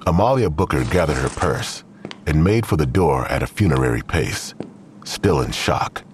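A man's voice: a bass voice, lively intonation, moderate pace, a little hiss or hum. A man narrating a scripted audio drama in a grave, literary storytelling manner.

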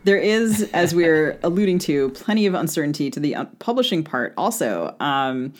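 A woman with a notably low voice, co-hosting a podcast. She talks casually, with a wry, self-deprecating turn. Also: sing-song, normal speed. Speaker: podcast co-host and novelist.

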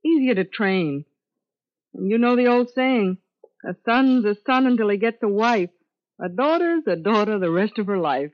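A chatty, motherly roommate played by a woman in a vintage radio play. Her folksy talk swings widely in pitch. The recording is old and dull. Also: expressive, moderate pace, close to an everyday voice. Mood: chatty, knowing. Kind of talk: 1950s radio drama acting.

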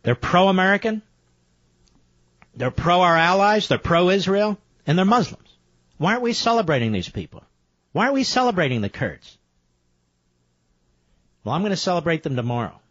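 Brief: male talk radio host, fairly high voice, emphatic and indignant, dry dull radio sound. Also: sing-song, moderate pace, long pauses, dry recording. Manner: emphatic, indignant, rising to loud peaks. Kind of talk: talk radio monologue and call-in.

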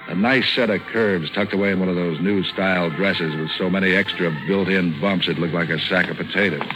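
An actor with an American accent playing a tough, cynical private eye in a 1940s radio drama, slangy and deadpan. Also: male voice, low-pitched, brisk, lightly acted, low-quality recording. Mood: weary, wry. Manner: hard-boiled, slangy, deadpan. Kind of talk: 1940s radio noir acting and narration. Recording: vintage 1940s radio broadcast, music behind.

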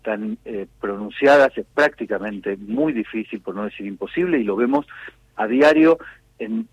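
An Argentine man answering as an official in a radio interview, speaking in a measured, formal, wordy way. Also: on the low side, husky, highs cut off. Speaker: government official being interviewed.

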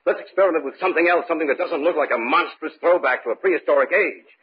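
An actor playing an uneasy, earnest research scientist in old-time radio horror style. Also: male voice, a little high, rapid-fire, husky. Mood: earnest, urgent. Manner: earnest, urgent pleading. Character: Emery, uneasy male research scientist keeping a diary. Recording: muffled noisy vintage radio recording.

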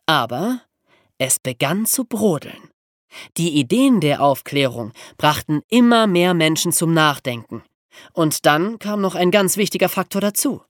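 A woman explaining things as a podcast host in a lively educational storytelling style. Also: lowish voice, unhurried, dry recording, studio quality.

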